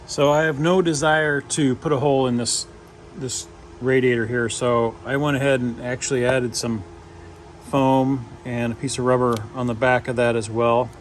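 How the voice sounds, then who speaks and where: A man's voice: mid-range voice, steady tone, normal speed, slight background noise. A man giving a casual, hands-on install walkthrough, explaining it informally step by step. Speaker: DIY video presenter.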